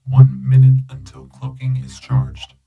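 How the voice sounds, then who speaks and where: Sci-fi scanner AI, played by a man: measured, informational, utterly level pitch, gravelly edge. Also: completely flat delivery, lo-fi sound, soft background music, natural voice. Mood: calm, advisory. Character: calm robotic scanner AI companion, advising its owner. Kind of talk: scripted sci-fi audio story.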